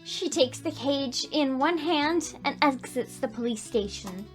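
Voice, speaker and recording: High-pitched, emotive woman narrating gameplay. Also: animated, unhurried, faint music behind. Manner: emotive, reactive. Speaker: gaming video host, reading narration aloud. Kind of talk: expressive game read-aloud with commentary. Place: voice-over on gameplay with game music behind.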